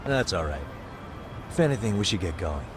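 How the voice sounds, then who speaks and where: An actor playing a calm, modest but tough male detective in a video game cutscene. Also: low voice, normal speed, background noise. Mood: calm, understated.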